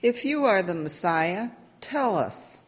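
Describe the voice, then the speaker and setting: A woman's voice: medium pitch, expressive, takes it slowly, slightly rough. A woman playing a stern, accusing council elder in a dramatic reading of scripture. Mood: demanding. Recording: echoey church hall, long reverb.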